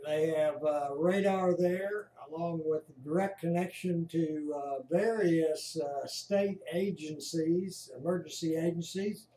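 A man with an American accent talks slowly in a fairly high voice, giving casual live commentary. His delivery is halting and unhurried, with a mellow tone, recorded in a roomy space. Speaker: amateur storm-watcher narrating a screen recording. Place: indoors at home by a computer. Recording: roomy echo.

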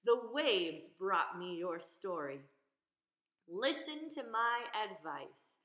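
A woman voicing a wise, solemn old octopus from a children's storybook, slow, deliberate and weighty. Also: mid-range voice, expressive, sharp tone, reverberant.

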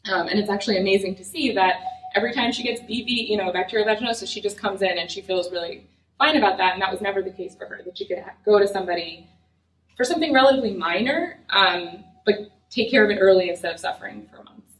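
A woman's voice: medium pitch, fast. A young adult woman giving a conversational, earnest lecture as a physician, speaking with many fillers. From United States. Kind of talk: academic lecture. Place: lecture room. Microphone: far room mic. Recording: echoey lecture room from far off.

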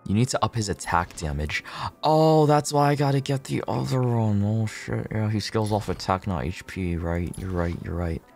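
A man livestreaming a game, chatting casually with viewers, swearing freely, repeating himself and thinking out loud. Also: on the low side, moderate pace, gravelly, soft background music.

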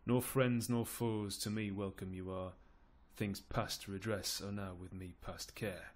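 A man's voice: lowish voice, steady tone, unhurried, natural voice. A man playing a principled, weary old duke who declaims verse sternly and with resignation. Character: weary, principled old duke, rebuking yet powerless uncle.

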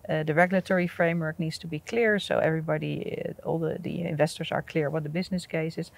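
A middle-aged woman speaking in an outdoor interview, halting with many restarts and easy laughs, on a lapel mic. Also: sits low, fairly even intonation, vocal fry. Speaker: energy company representative. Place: outdoors at an industrial port site.

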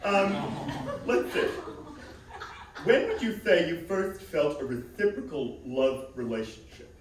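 A woman's voice: low voice, sing-song, takes it slowly, a little hiss or hum, heavily acted. A woman playing a grand, self-adoring celebrity advice hostess. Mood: prying. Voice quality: grand, self-satisfied showbiz patter with giggles. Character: boastful self-important celebrity love-advice hostess, middle-aged woman. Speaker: comedy character performer. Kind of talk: improvised stage comedy. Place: small theater stage. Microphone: far room camera. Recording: echoey theater from far off.

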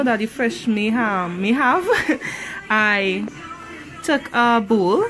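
A woman's voice: fairly high pitch, rough recording. A Trinidadian woman casually talking through a home cooking tutorial, rambling with many ums and easy laughs. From Trinidad and Tobago. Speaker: cooking channel host.

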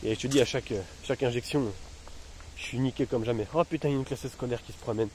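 A man's voice: medium pitch, fairly even intonation, quick pace, a little mellow. Slangy man in pain, noisy outdoors. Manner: slangy, rambling, talks straight to his followers. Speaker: vlogger. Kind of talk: casual vlog. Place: outdoors among trees and bushes. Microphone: phone held at arm's length. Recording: noisy outdoor phone recording. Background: outdoor ambience.

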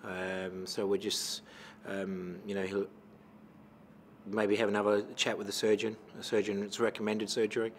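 Give me a middle-aged Australian man answering press questions after a match, speaking low and even with many fillers into a table mic. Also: a little hiss or hum. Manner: measured, many fillers and restarts. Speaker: football coach. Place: press conference room with sponsor backdrop. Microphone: table microphone.

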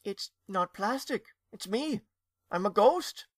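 A timid little boy ghost, as a man puts him on, answers shyly in a high, soft voice. He sounds small and hesitant. The recording is dry and close. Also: animated, takes it slowly, dry recording, full character voice. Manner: shy, soft.

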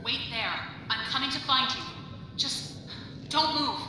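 A high urgent game voice, calling out, heavy echo. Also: female voice, measured pace, background noise. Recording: roomy reverberant game audio with ambience.

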